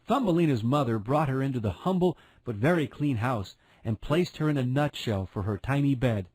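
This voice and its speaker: A man's voice: medium pitch, animated, moderate pace, a little mellow, no room sound. A man narrating a fairy tale as a scripted storyteller.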